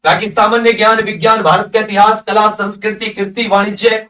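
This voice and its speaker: A man speaks in a very high-pitched voice as a coaching teacher. He goes through a list item by item, dropping in English technical terms. The room is clearly echoey and he sounds some distance from the microphone. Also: level tone, fairly quick, bright, forceful tone. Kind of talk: lesson, reading through a syllabus list with brief comments.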